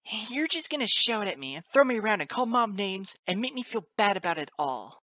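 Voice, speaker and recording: Woman acting a tearful, stammering little colt, shifted higher. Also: sing-song, a little fast, dry recording. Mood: tearful defiance. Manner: whimpering and yelling, stammering. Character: small tearful, defiant unicorn colt, a young boy. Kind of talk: dramatic audiobook character acting.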